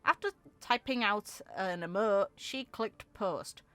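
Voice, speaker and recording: A woman's voice: medium pitch, takes it slowly, sharp tone, clear, resonant tone, no echo. A woman reading a story aloud expressively, with casual offhand asides in the manner of a gaming channel host.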